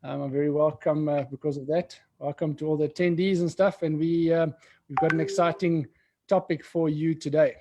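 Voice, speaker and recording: A man's voice: fairly high pitch, fairly even intonation, a little fast, noisy background. A man co-hosting an immigration livestream in a casual, rambling way, full of fillers and restarts. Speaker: co-host of an immigration webinar.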